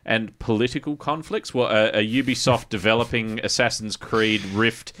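An Australian man hosting a gaming podcast, chatting casually and haltingly with plenty of swearing and colloquial turns. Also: lowish voice, steady tone, a bit bright. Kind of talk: casual chat.

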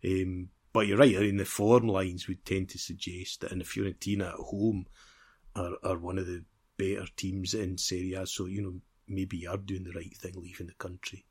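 Low-voiced man in wry football chat. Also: flat delivery, normal speed, breathy edge. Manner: rambling, repeats phrases as he builds a point. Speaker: football podcast co-host. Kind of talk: casual sports chat.

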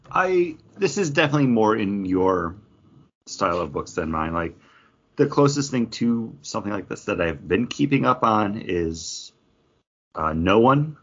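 Low, husky man chatting casually. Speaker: podcast co-host. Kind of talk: casual chat about comics and beer. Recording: dull, lo-fi podcast audio.

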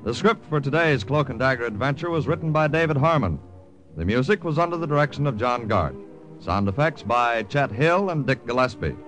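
A man's voice: mid-range voice, lively intonation, brisk, fairly bright tone, low-quality recording. A man with an American accent reading a script formally and dramatically as a vintage radio announcer. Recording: vintage radio broadcast with music behind.

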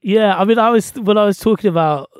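A man's voice: sits high, fast talker, smooth, no echo, studio quality. A man from England with an English accent, co-hosting a football podcast in casual, jokey chat.

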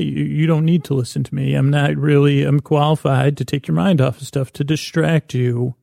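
A man hosting a podcast with a rambling, whimsical bedtime monologue, in a creaky voice, meandering and halting as he repeats and doubles his words. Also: mid-range voice, warm tone, dry recording, studio quality. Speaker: podcast host.

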